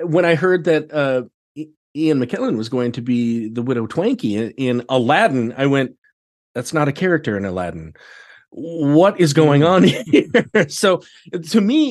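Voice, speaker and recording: A man chatting casually as a podcast guest, expressive in his storytelling, often restarting his sentences. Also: mid-range voice. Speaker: podcast guest, theatre director. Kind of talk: casual chat.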